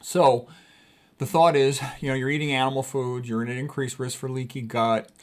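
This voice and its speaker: A man's voice: mid-range voice, flat delivery, moderate pace. Man giving an informal health lecture. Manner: emphatic, opinionated, repeats points plainly. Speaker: physician presenting health lecture, author. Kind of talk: informal explanatory lecture reading and commenting on quotes.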